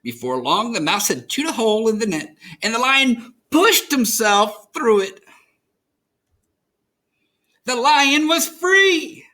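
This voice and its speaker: Animated American man reading a children's story. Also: extremely high pitch, slow, pauses often. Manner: expressive, dramatic storytelling. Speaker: children's story reader, host.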